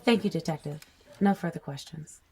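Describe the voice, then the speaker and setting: An actress playing a tough, cool prosecutor, speaking formally and with measured probing in a courtroom audio drama. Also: female voice, low voice, mellow, a little hiss or hum. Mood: businesslike. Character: tough, cool female prosecutor working the witness.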